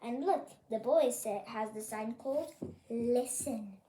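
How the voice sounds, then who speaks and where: A storyteller reading a picture book aloud for children, expressive and pointing things out to young listeners. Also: mid-range voice, takes it slowly, roomy sound, slight background noise. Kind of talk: animated picture-book read-aloud for kids.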